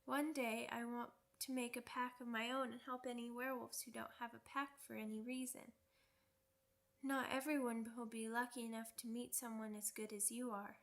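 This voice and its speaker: A woman's voice: a little high, little pitch movement, normal speed, a bit bright. A woman playing a young werewolf pup in an ASMR roleplay, childlike, cheeky and earnest, with pouty, rambling speech. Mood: vulnerable, earnest. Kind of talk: ASMR roleplay audio drama.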